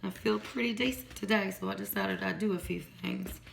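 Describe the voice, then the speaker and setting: A hoarse woman, chatting casually as she shows her home cooking in a kitchen. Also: medium pitch, fairly quick. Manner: chatty, rambling, folksy. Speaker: home cook. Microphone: phone or camera on counter. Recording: noisy home recording.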